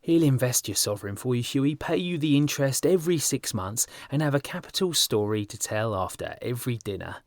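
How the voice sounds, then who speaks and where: Man acting a breezy, flamboyant gentleman painter, animated pitch. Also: brisk, few pauses, dry recording, studio quality, lightly acted. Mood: laughing, teasing. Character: amused, worldly, teasing young gentleman painter. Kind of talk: audiobook character dialogue.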